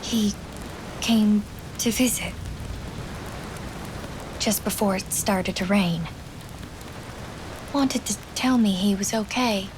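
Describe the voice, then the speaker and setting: A woman playing a young, grieving wartime nurse in a game drama, soft and wistful, with a Newfoundland accent. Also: mid-range voice, slow, long pauses, noisy background, lightly acted. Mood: tender, quiet. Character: grieving wartime nurse, sister of a fallen soldier. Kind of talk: dramatic game cutscene storytelling.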